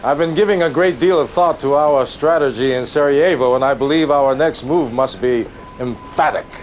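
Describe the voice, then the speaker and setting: An actor playing a smooth, pompous, ambitious politician in a video game cutscene, speaking with polished emphasis. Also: male voice, medium pitch, moderate pace, slight background noise, close to an everyday voice, poor audio. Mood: insistent.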